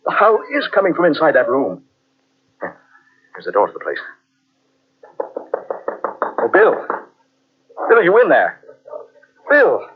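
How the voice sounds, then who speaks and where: A man's voice: on the high side, wildly swooping pitch, takes it slowly, pauses often, slight background noise, heavily acted. An actor playing an anxious male houseguest in an old radio drama, talking with urgent insistence. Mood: alarmed. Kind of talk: 1940s radio acting. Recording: dull old radio transcription.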